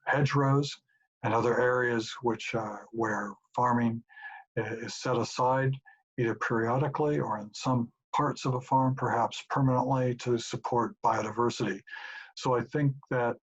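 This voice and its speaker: A middle-aged professor calmly explaining his answers to questions sent in by an audience. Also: medium pitch, husky. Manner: measured, explanatory. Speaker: professor and institute director. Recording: dull lo-fi home recording.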